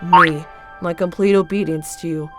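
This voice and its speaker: A woman's voice: lowish voice, steady tone, moderate pace, bright, forceful tone, soft background music, pitched below the performer's own voice. A woman voicing a guilty, self-loathing teenage boy who stammers anxiously. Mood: resigned.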